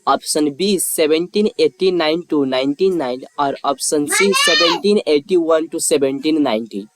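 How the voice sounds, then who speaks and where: A quiz narrator reading scripted questions and answer options aloud in a formal, measured way. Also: mid-range voice, big pitch swings.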